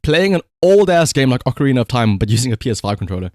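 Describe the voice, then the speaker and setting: Animated man, close dry sound. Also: medium pitch, rapid-fire, no room sound. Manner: conversational storytelling, rhetorical asides. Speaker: livestreamer. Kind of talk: casual livestream commentary.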